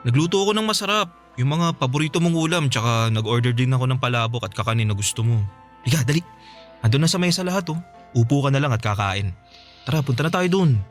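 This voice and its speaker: A man's voice: medium pitch, lively intonation, fast talker, soft background music, close to an everyday voice. A man acting a playful, doting boyfriend in a radio drama, coaxing, with a Filipino accent.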